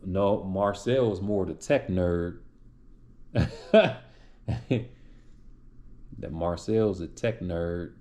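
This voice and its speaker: A man's voice: low voice, drawn-out words, long pauses, darkish tone. A man hosting a casual live stream, answering viewer chat in a loose, rambling way with many restarts. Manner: reads out and replies to chat names.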